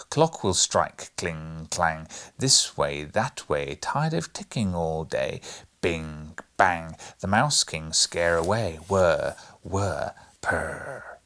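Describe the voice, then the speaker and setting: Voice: sits low, fairly bright tone, pitched below the performer's own voice, full character voice. A performer doing an eccentric, grating old storybook godfather. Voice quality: grating when chanting. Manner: theatrical, emphatic storybook delivery. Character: eccentric old godfather counsellor, grating, monotonous, droll, testy.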